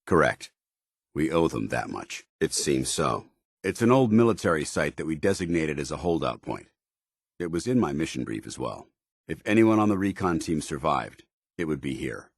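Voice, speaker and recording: A stern, duty-bound military officer from a video game. His voice is extremely low and rough-edged, recorded dry and close. He speaks in clipped, formal phrases with the weight of command. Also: sing-song, normal speed, no room sound, exaggerated voice. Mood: resolute, solemn. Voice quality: deep, gravelly. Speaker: video game companion character. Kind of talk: scripted video game dialogue.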